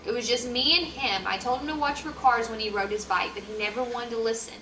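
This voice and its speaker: A woman's voice: on the high side, a little fast, pushed and bright, slight background noise. A woman voicing a frightened mother who pleads with the police over the phone, her speech panicked and pleading. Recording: echoey room, distant mic, music behind.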